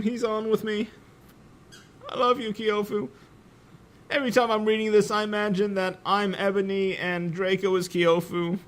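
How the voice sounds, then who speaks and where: A man's voice: sits high, fairly bright tone. A young man giving a comedic reading of fan fiction, breaking in with sarcastic, giggly asides. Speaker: reader.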